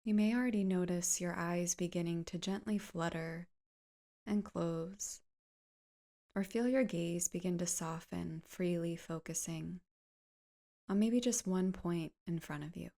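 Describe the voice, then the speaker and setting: A woman leading a guided meditation in a soft, gentle, soothing way, with unhurried phrases that turn breathy at the ends. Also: fairly low pitch.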